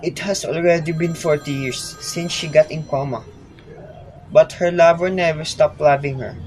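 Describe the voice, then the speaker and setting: A man's voice: on the high side, steady tone, normal speed, darkish tone, close to an everyday voice, rough recording. A man playing a self-assured boss and devoted lover in an amateur radio play. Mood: calm, wistful. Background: music.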